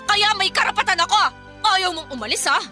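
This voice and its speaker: A woman's voice: very high voice, lively intonation, fairly quick, over music. A woman playing a strict, indignant young breadwinner daughter, scolding in a Filipino radio drama. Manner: scolding, confrontational.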